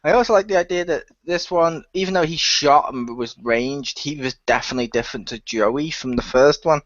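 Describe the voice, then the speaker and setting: A man's voice: a little high, brisk, no echo. A man, a cast member of a radio play, joking crudely and laughing in a casual online group chat over muffled audio.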